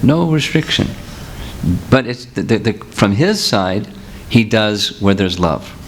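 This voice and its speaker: A low-pitched man teaching a seminar, speaking patiently and working through examples as he answers questions from the audience. His voice comes through a handheld microphone in a meeting room. A faint hiss of room noise sits under the talk. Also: sing-song, slow. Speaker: spiritual teacher.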